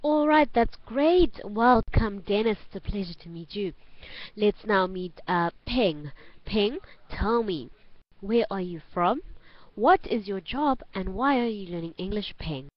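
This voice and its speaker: A woman with a South African accent teaching an online English class, giving instructions clearly and repeating phrases for learners. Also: medium pitch, expressive, unhurried, no echo. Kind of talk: online group lesson. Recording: dull lo-fi online call audio.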